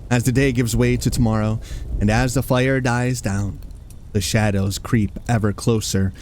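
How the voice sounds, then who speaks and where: A man's voice: medium pitch, darkish tone, a little hiss or hum. A man hosting with scripted, storytelling campfire-style narration for a horror radio show. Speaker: podcast host.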